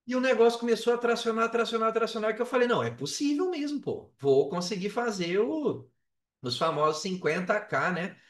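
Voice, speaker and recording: A man's voice: high voice, expressive, a little fast. A man with a Brazilian Portuguese accent telling his own story casually on a podcast, with slang, swearing and emphatic repetition. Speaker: podcast guest, affiliate marketer. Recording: roomy echo, distant from the mic.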